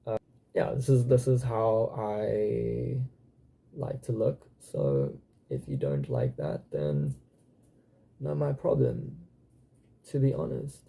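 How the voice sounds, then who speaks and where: A young South African man in his twenties, speaking in a dark, gravelly voice with a husky edge. He talks to camera haltingly, full of ums and restarts, with the odd curse thrown in. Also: mid-range voice, lively intonation. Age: 20s. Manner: hesitant, many fillers and restarts, occasional swearing. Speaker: channel host. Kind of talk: casual personal vlog.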